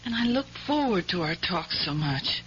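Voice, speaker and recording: Frail tired wife, gentle delivery, old radio drama, muffled with some hiss. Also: female voice, fairly low pitch, very animated, normal speed. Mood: tired, affectionate. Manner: gentle, weary. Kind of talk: 1970s radio drama acting.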